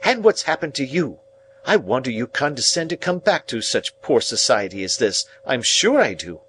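A male reader plays a scolding, haughty sister in a storybook. The voice is pitched well up and swings in tone, snappish and curt, over some background noise. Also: big pitch swings, moderate pace, a bit bright. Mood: scornful. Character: sharp, scolding, haughty older sister, adult woman. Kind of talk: audiobook character voice.

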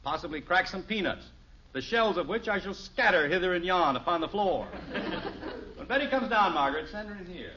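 A man's voice: high voice, lively intonation, brisk, background noise. A man playing an exasperated, stubborn middle-aged father in a 1950s radio sitcom, grumbling with folksy, emphatic sarcasm. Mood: stubborn, self-satisfied. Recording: dull 1950s radio broadcast recording.

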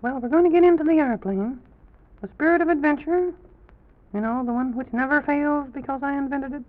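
High-pitched, stammering little president character. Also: male voice, brisk. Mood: determined, proud. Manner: stammering, repeats his words, folksy exclamations. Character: excitable, bumbling, boastful little cartoon airplane-captain president. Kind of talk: old children's radio storytelling. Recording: dull, muffled old radio recording.

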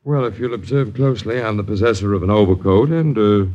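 A man's voice: lowish voice, fast, mellow, dull audio, close to an everyday voice. An old band-limited radio recording of a man acting a down-at-heel lodger. He speaks politely and precisely, with wordy formality, in the manner of 1950s radio drama. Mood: dryly indignant.

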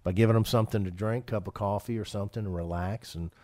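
A man's voice: on the low side, steady tone, fast, dark tone, no room sound. A man hosting a financial radio show, talking casually and often restarting his sentences with repeated words.

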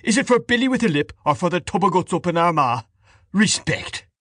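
A man's voice: high-pitched, expressive, a little fast, a bit bright, dry recording, clean studio sound, voice pushed up. A man voicing a coarse, sarcastic, blustery father in an audiobook novel. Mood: bitter, mocking. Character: coarse, bitter, mocking Irish father at dinner.

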